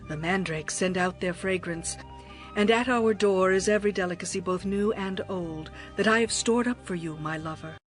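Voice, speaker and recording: A woman playing a passionate, devoted young bride in a dramatized scripture reading, with a tender, expressive, poetic delivery. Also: mid-range voice, moderate pace, soft background music, lightly acted. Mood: tender longing.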